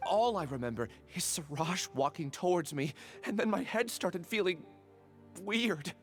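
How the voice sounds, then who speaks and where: A man voicing a distressed, weakened man in a video game, strained, halting and urgent. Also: sits high, animated, soft background music. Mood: shaken. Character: distressed, weakened man desperate to report a crime.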